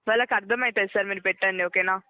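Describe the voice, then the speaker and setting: Fast-talking woman on a tinny phone line. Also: mid-range voice, fairly even intonation, no room sound. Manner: instructive, repeats herself, checks understanding. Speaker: customer care agent. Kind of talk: phone customer support, giving step-by-step instructions. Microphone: telephone call.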